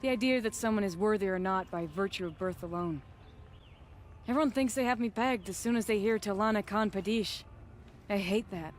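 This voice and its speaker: A woman's voice: medium pitch, brisk, lightly acted. Woman acting as a proud bitter young huntress, firm clipped delivery, video game drama w music behind. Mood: indignant. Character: proud, defiant noblewoman hunter, bitter and determined.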